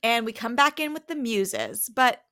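A woman with a slightly high, very bright voice co-hosts a casual recap podcast. She is enthusiastic and slips into dramatized quotes as she chats. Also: moderate pace. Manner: enthusiastic, quoting characters in a dramatized way. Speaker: podcast co-host. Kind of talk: casual, chatty episode recap.

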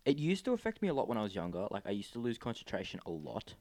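A man's voice: very animated, darkish tone, no echo. An eighteen-year-old man chatting casually as a podcast guest, telling stories and laughing easily. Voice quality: higher voice than his co-host, by his own account. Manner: chatty storyteller, quick to laugh. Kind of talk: casual chat.

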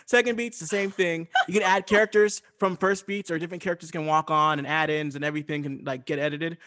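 A man's voice: fairly high pitch, steady tone, fast, pushed and bright. A man casually explaining things in a loose, rambling way, often interrupting himself. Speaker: improv comedian on a podcast.

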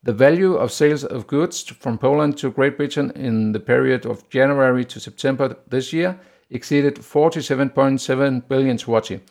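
Male podcast host, Danish accent, gravelly voice at a mid pitch, steady and plain with hesitant "uh" fillers. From Denmark. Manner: steady, plain delivery with frequent "uh" pauses. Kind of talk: solo business podcast monologue.

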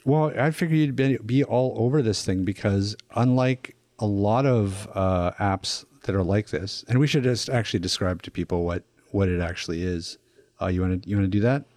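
A man's voice: on the low side, moderate pace, a little mellow, dry recording. A man hosting a casual podcast, joking around with his co-hosts. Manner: joking, self-deprecating. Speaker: podcast host.

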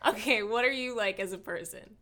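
A woman's voice: medium pitch, fairly quick, pushed and bright. A woman hosting a podcast interview in a casual, chatty way, often saying "like" as she goes. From Hawaii. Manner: informal, chatty, frequent "like". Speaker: podcast host interviewing a guest.

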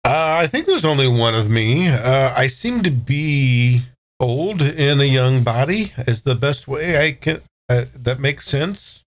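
A man playing a confused old soul in a younger body for a tabletop game, speaking in halting stammers. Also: medium pitch, animated, muffled recording, natural voice. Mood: halting, wry.

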